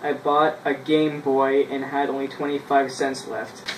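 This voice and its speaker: A young man with a mid-pitched voice chats casually and reads aloud haltingly. He breaks off for self-mocking asides. The sound is roomy and picked up from a little way off in a small bedroom, with faint noise. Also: level tone. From Canada. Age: 20s. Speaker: vlogger. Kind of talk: casual vlog, reading childhood writing aloud. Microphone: camera a few feet away. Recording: roomy, distant mic with noise.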